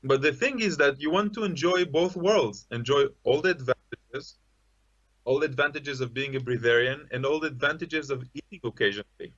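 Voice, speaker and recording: A man's voice: medium pitch, a little fast, a bit bright, dull audio. A man speaking earnestly and persuasively over a video call with earphones. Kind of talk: interview.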